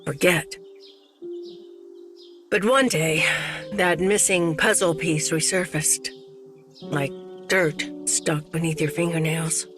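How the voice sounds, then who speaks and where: A grave older lady with secrets, solemn and measured, game drama, music behind. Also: sits low, fairly even intonation. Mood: regretful. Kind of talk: dramatic game cutscene acting.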